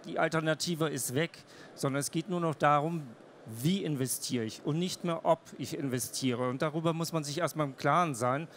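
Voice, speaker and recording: Earnest managing director, slightly high voice. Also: male voice, normal speed, a bit bright, slight background noise. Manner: earnest, emphatic, repeats points. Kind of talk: panel discussion on stage. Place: large trade fair hall stage. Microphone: handheld mic.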